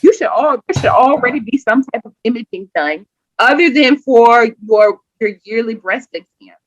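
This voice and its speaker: A woman guest on a podcast, telling a personal story in an animated, emphatic way and often acting out what people said. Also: on the high side, moderate pace, dry recording. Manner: animated, emphatic, acts out quoted speech. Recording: dull lo-fi sound.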